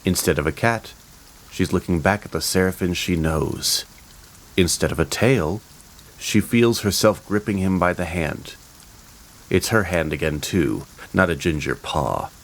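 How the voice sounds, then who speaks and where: A man as storyteller, reading scripted, descriptive narration for a fantasy audio drama in a measured manner. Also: low voice, poor audio. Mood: calm, descriptive. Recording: rain ambience noise behind the voice. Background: rain ambience.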